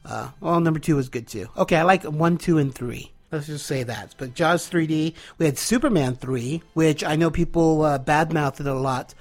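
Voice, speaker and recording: Congested, eager man in his thirties. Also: a little high. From California. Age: 30s. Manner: enthusiastic, nostalgic, with filler pauses. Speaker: caller leaving an audio comment. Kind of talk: casual recorded message.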